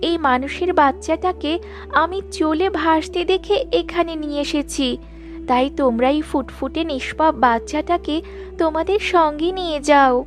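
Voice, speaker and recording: A woman voicing a kindly, protective talking snake in a children's cartoon, with a gentle, reassuring manner. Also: sits high, normal speed, soft background music, close to an everyday voice. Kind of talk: Bengali cartoon voice acting.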